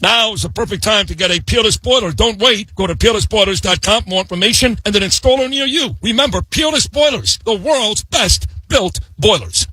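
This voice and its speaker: A man's voice: sits high, animated, a little fast, hardly any pauses, sharp tone, breathy edge, dry recording, rough recording. A man reading a short scripted radio commercial. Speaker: commercial voice-over.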